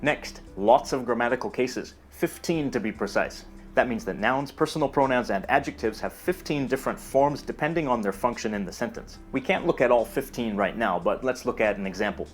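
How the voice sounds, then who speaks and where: A man giving scripted educational narration in a steady, explanatory delivery. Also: medium pitch, brisk, few pauses. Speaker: narrator of a language explainer video. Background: faint music.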